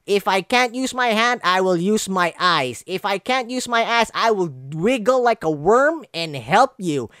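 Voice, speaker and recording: A man's voice: high voice, animated, a little slow, few pauses, fairly bright tone, clean tone, no room sound, clean studio sound. A man from the Philippines with a Filipino accent, speaking English as a second language, giving earnest motivational coaching and repeating phrases for emphasis. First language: not English. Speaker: branding coach, guest speaker. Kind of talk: motivational conversational talk. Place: online video call.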